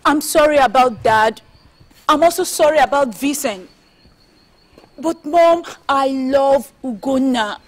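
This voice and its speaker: A woman's voice: sits high, takes it slowly. An actress playing a young daughter pleading with her mother for a forbidden love. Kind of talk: melodrama acting.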